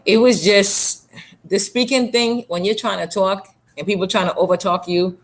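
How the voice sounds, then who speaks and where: A woman's voice: medium pitch, steady tone, normal speed, rough recording. Blunt Trinidadian woman author speaking. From Trinidad and Tobago. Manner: blunt, emphatic, repeats phrases for emphasis. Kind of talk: candid interview talk.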